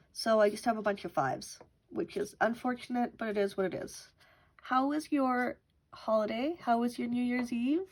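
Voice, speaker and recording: A young woman who is sick with a cough. She chats casually while counting cash aloud as host of a budgeting channel at home. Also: fairly high pitch. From Canada. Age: young adult. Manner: chatty, counts money aloud.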